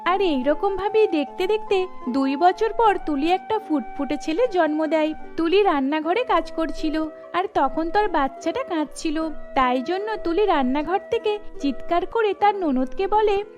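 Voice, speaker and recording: A woman's voice: extremely high pitch, lively intonation, a little slow. A woman storyteller reading scripted narration for a cartoon, with music underneath.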